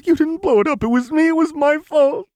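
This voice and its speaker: Casting: narrator as tender, guilt-stricken young woman, very high voice, tearful and pleading, audiobook drama. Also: brisk, dark tone, no room sound, lightly acted. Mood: sobbing, guilty. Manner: sobbing, emphatic, pleading. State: crying.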